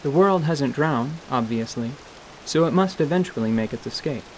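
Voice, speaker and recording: A man narrating scripted fantasy in a measured storytelling manner. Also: mid-range voice, animated, a little mellow, a little hiss or hum, poor audio.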